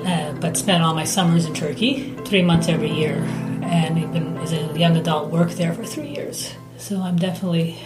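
A woman in her forties speaks in a slightly low voice, reflective and hesitant, filling her pauses with ums. The room around her is echoey and reverberant, as in an interview setup. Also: loud music behind the voice. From United States. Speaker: interviewee, mother of a teen.

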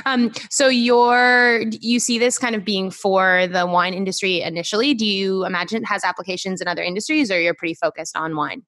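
A woman's voice: mid-range voice, fairly even intonation, a little fast, few pauses, a bit bright. A woman hosting a podcast, interviewing and narrating in a conversational style. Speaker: podcast host.

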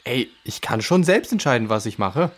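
A man's voice: medium pitch, expressive, moderate pace, husky. A man playing a defensive teenage boy who acts tough, talking in slangy, hesitant bursts in a children's audio drama.

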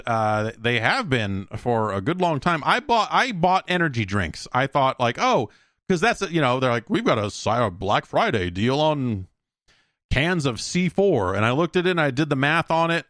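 A man's voice: medium pitch, fairly bright tone, no room sound. A man in his late forties hosting a podcast alone, rambling casually with frequent swearing and self-deprecating comic asides, close on the microphone. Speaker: podcast host.

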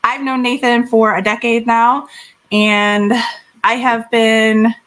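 A woman with a fairly high voice talks in a relaxed interview style. She is informal and animated, with a giggly streak in her conversation. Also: takes it slowly. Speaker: podcast guest, band singer.

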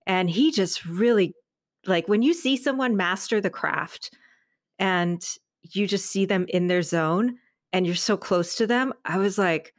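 A woman's voice: fairly low pitch, dry recording, studio quality. A woman chatting casually as a podcast guest, gushing and informal, often saying "like" and "you know". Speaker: interview guest, audio drama creator and narrator. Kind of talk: casual chat in a podcast interview.

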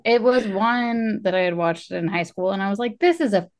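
A woman's voice: mid-range voice, moderate pace. Chatty, self-deprecating woman podcaster. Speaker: podcast host. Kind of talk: casual banter about films.

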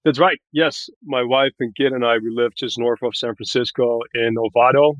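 A man's voice: medium pitch, steady tone, moderate pace, no room sound, highs cut off. A man from Barbados chatting casually as a podcast guest, explaining things in an articulate way. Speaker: podcast guest, tech growth manager. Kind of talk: casual interview chat.

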